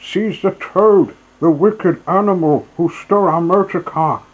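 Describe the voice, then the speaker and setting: A man's voice: sits high, unhurried, narrow, tinny audio, pitched above the performer's own voice. A man voicing outraged storybook motorists denouncing a thief in a commanding, vehement way. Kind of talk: storybook character voice.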